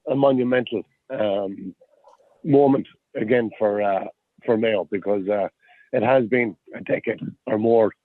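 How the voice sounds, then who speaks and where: A man's voice: medium pitch, no room sound. Tinny hesitant Irish man on a line. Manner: hesitant, full of fillers. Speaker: podcast guest. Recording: dry tinny phone-line audio.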